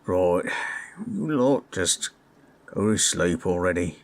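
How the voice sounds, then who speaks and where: A man playing a gruff, protective, steady adventurer in a fantasy roleplay, speaking tersely but reassuringly. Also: deep voice, slow, exaggerated voice. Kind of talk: fantasy roleplay audio acting. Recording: storm noise behind. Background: rain and thunder.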